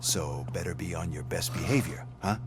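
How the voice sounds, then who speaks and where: An actor playing an abrasive, short-tempered corporate boss in a video game, sarcastic and taunting. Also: male voice, deep voice, moderate pace, noisy background, natural voice.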